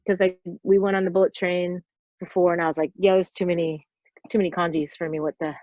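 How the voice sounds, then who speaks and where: A woman's voice: on the low side, level tone, fast talker, darkish tone, no room sound. A woman in her forties, a guest on a podcast, chatting casually and rambling through her stories with plenty of "like" fillers. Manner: rambling storyteller, lots of "like" fillers. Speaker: podcast guest, stunt performer. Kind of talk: casual interview chat. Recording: dull, dry lo-fi sound.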